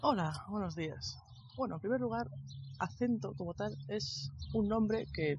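A man giving a didactic, measured explanation as a language expert, in a recorded message. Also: high-pitched. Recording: noisy, muffled lo-fi recording.

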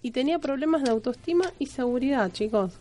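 A woman's voice: high voice, animated, fast, dark tone. A woman with an Argentine accent co-hosting a radio show in casual studio chat. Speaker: radio co-host.

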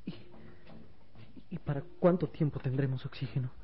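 A man's voice: mid-range voice, moderate pace, natural voice. An actor playing a frightened, loyal boy in a radio serial, with an anxious, pleading, emotional delivery. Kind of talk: Spanish-language radio serial acting. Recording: dull old radio recording with music.

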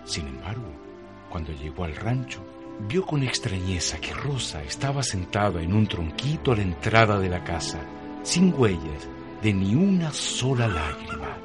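A deep-voiced man narrates a radio drama in scripted storytelling style. He, and music plays clearly under his voice. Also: sing-song, moderate pace.

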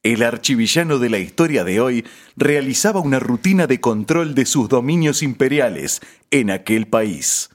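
A man's voice: mid-range voice, animated, moderate pace, natural voice. A man playing a grandiose, mock-solemn narrator of a parody radio drama.

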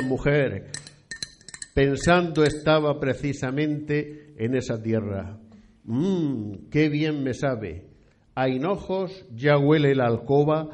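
A man's voice: medium pitch, darkish tone, close to an everyday voice. A man from a radio play, with an accent from Spain, playing a pious, nostalgic old eighteenth-century priest who speaks in formal, florid, archaic language. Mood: wistful. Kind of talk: period radio play acting.

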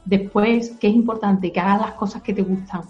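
An adult woman gently explains ideas to a child, using simple comparisons and reassurance. Her voice has a middle pitch. The sound is slightly dull, with soft music faintly behind. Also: steady tone, brisk.

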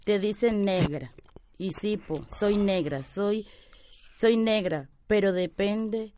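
Mellow-voiced woman, reflective. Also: medium pitch, slow pace, a little hiss or hum. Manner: reflective, circling back on the same phrases. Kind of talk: interview testimony.

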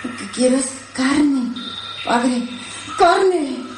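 An actress playing a feverish chained daughter turning zombie, pleading in plaintive, drawn-out speech in a radio drama. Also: female voice, high voice, animated, music in the background, heavily acted. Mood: pleading, hungry. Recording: roomy echo, noisy background.

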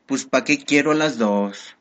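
A woman acts a rustic male bumpkin in a radio soap. He is naive and forward, with slow, drawn-out country talk and a low voice for a woman. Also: a bit bright, full character voice. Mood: naive, defensive. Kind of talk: Spanish-language radio soap acting.

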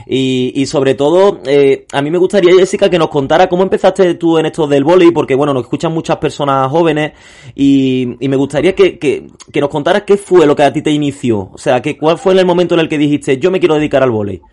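A man's voice: fairly high pitch, brisk, darkish tone. A man with an Andalusian accent hosting a radio interview, asking questions hesitantly with many restarts and frequent "ujú" to show he is listening.

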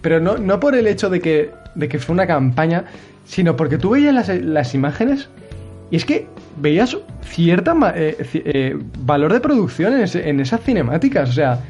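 A man's voice: fairly high pitch, very animated, normal speed, a little mellow, muffled recording, soft background music. A young man from hosting a casual video game chat, rambling with restarts and swearing. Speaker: podcast host.

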